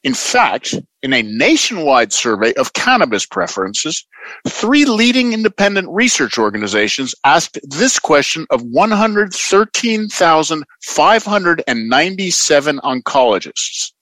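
A man's voice: fairly high pitch, very animated, sharp tone, no echo, heavily acted, poor audio. A man playing an earnest old-time radio announcer, reading a parody commercial in a salesmanlike manner. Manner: salesmanlike, deliberate.

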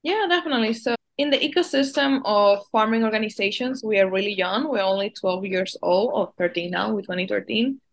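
A young woman from the Dominican Republic, a guest answering interview questions in an earnest, quick flow with small restarts. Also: medium pitch. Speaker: guest, farm policy advocate.

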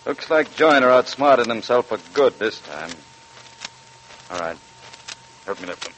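A man's voice: mid-range voice, expressive, measured pace, fairly bright tone. An American man playing a commanding sheriff in a 1940s radio crime drama, giving terse, clipped orders. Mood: grim. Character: commanding small-town sheriff hunting an armed fugitive. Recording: noisy, clicking 1940s radio recording.

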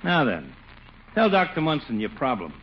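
An actor playing a cocky, slangy, self-important barber in 1940s radio comedy. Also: male voice, medium pitch, huge pitch swings, moderate pace. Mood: chummy. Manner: slangy, ungrammatical, chummy. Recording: muffled old radio broadcast with hiss.

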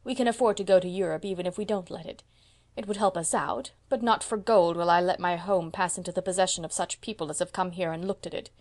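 A woman's voice: on the low side, steady tone, fast, a little mellow, lightly acted. A woman voicing a proud, decisive seventy-year-old spinster who owns a house and speaks with emphasis. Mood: emphatic. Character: brisk, proud elderly spinster landlady, 70.

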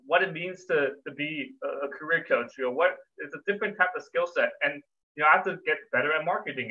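Fast-talking male guest, slightly high voice, thin phone-like sound. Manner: quick, upbeat, conversational. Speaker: guest, career coach. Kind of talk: casual interview chat. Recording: tinny phone-like remote line.

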